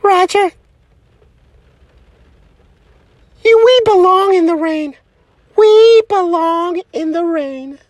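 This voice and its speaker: A performer voicing a gushy, melodramatic, lovesick woman with a fickle temper, in a breathy, theatrical comedy parody of romance. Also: very high-pitched, takes it slowly, no echo. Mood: teasing, dreamy.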